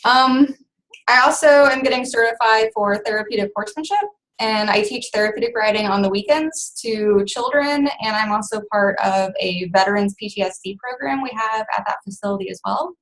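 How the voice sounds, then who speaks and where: A woman doctoral student introducing herself to a class over a video call, steady and matter-of-fact. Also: medium pitch, brisk, low-quality recording.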